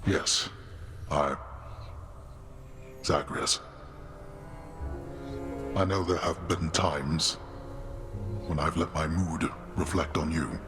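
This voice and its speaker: Deep, grave underworld god-king. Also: fairly even intonation, very slow, long pauses, a bit bright, faint music behind, exaggerated voice. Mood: solemn, halting. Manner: formal, grave, imperious diction. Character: stern, weary, grim god-king of the underworld, older father. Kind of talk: dramatic video game voice acting.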